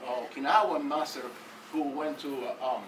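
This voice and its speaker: Cuban guy commenting from the audience, lots of uhs and restarts, slightly high voice, faint background noise. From Cuba. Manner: halting, many fillers and restarts. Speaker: audience member at academic taekwondo conference. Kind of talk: unscripted comments from the floor. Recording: roomy hall from a distance with faint noise.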